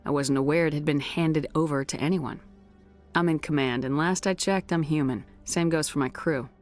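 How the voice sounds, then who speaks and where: Low-voiced resolute space commander played by a woman. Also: faint music behind, natural voice. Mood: composed, guarded. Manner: firm, measured, blunt. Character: resolute human female military commander in space opera. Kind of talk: cinematic game voice acting.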